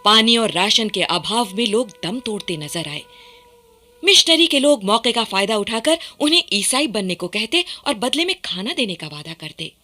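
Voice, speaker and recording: A woman's voice: on the high side, very animated, moderate pace, bright, forceful tone. A woman giving scripted, dramatic narration for a radio play.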